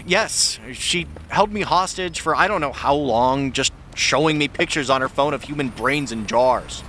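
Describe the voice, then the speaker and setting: An actor playing an awkward, wry young man in an audio drama, hesitant and deadpan in his wording. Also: medium pitch, moderate pace, slight background noise, natural voice. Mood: wry, puzzled.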